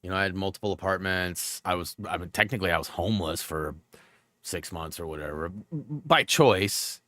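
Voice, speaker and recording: A man's voice: sits low, normal speed, bright, forceful tone, dry recording, studio quality. A man hosting a gaming livestream in a rambling, casual way, full of fillers, and a bit stuffed up. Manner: rambling, filler-heavy, lots of "like". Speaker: livestream host.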